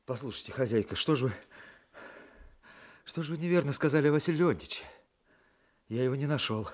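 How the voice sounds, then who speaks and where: An actor playing a weary, troubled young musician with polite, educated speech in a radio drama. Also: male voice, mid-range voice, lively intonation, a little fast, lightly acted. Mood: anxious, polite. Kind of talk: Soviet radio drama acting. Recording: noisy vintage radio drama recording.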